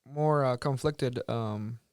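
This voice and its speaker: A man's voice: medium pitch, slow pace, a little mellow, dry recording, studio quality. Upbeat man leading a podcast chat. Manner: upbeat, enthusiastic, steers the talk. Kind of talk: casual chat.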